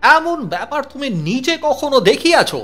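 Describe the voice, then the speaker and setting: Pompous high-pitched British police chief. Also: male voice, sing-song, normal speed, a bit bright, soft background music, full character voice. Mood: scornful. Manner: pompous, dismissive. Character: sceptical, high-handed British police chief in colonial India. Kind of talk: audio horror story acting.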